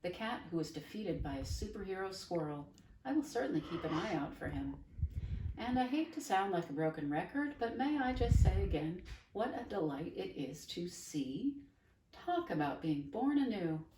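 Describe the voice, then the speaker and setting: A man's voice: high voice, big pitch swings, moderate pace. A woman doing an effusive, wordy boy. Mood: grandiloquent. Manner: formal, elaborate speech. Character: effusive, wordy boy newly able to see. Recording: roomy, distant mic, faint hiss.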